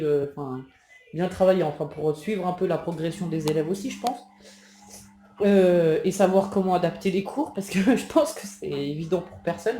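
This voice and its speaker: Mom vlogger at home, casual and meandering, fairly low and warm voice, echoey room. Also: female voice. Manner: rambling, many fillers and self-corrections. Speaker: mother vlogging about home schooling. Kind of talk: casual chat to camera.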